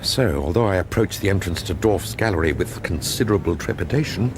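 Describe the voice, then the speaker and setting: An actor playing an anxious, wry forger caught up in a murder case in a radio drama, hesitant and doubtful. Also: male voice, low voice, lively intonation, a little fast, background noise. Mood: wry narration. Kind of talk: radio drama acting with first-person narration.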